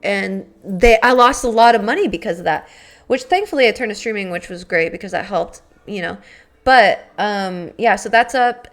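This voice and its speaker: A woman's voice: medium pitch, animated, normal speed. A young woman, chatting casually and rambling to viewers on a live stream, with lots of fillers and swearing. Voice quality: raspy. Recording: faint background music.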